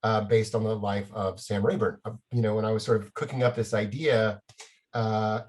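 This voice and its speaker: A man's voice: fairly low pitch, steady tone, normal speed, darkish tone. A middle-aged man from Texas talking casually about himself and his work as a panel guest. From Dallas, Texas.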